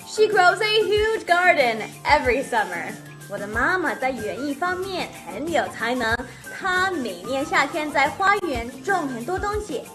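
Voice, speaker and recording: A woman giving an upbeat, bilingual video English lesson as an online English teacher. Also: high voice. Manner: animated, upbeat teaching delivery.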